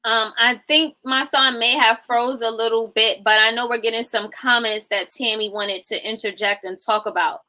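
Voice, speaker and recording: A woman's voice: a little high, steady tone, moderate pace, few pauses, sharp tone. A woman co-hosting a live show, briefly and hesitantly passing the conversation along.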